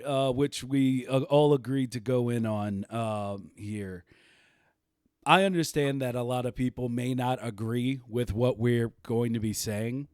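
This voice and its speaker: A man's voice: mid-range voice, a little mellow, no echo, studio quality. A man hosting a livestreamed podcast, talking casually and rambling, laughing easily. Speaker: podcast host.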